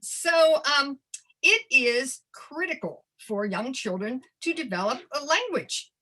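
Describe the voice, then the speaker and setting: A woman's voice: high voice, sing-song, slow, bright, forceful tone, poor audio. An older woman, sixties or above, an educator giving a webinar presentation, addressing her listeners directly and stressing key phrases. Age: 60s or older. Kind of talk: webinar presentation to childcare providers.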